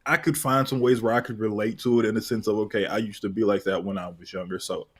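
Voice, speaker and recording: A man's voice: on the low side, fairly even intonation, fast talker, breathy edge. A man in his thirties chatting casually as a panelist, with a wry manner. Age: 30s. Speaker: panelist on a comics discussion show. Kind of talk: casual chat.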